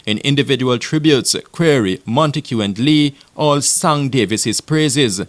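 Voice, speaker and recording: A man reading a scripted news report in a measured, even way. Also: medium pitch. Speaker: news narrator.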